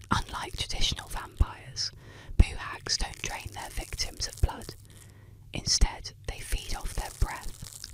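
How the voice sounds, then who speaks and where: Female ASMR storyteller, breathy close-up whisper, soothing and deliberate, reading spooky legends for sleep. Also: sits high, poor audio. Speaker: ASMR creator narrating legends. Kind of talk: ASMR, scripted bedtime storytelling of eerie legends. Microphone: close-up microphone.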